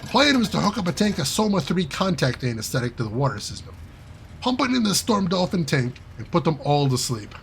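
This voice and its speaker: A man's voice: on the high side, very animated, fairly quick, vocal fry, a little hiss or hum. A man reading aloud and voicing a wry male hacker character who tells a self-deprecating heist story in a chatty way.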